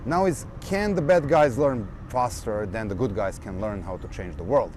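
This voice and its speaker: A man, an activist trainer, speaking in an animated, lecturing way. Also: lowish voice, moderate pace, slight background noise. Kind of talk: speech and interview.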